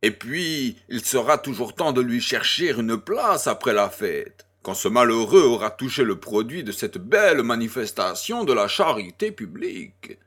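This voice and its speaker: An actor playing an urbane, grandiose baron, florid, courteous and self-assured, in a French audio drama. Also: male voice, mid-range voice, very animated, unhurried, few pauses, fairly bright tone. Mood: reassuring.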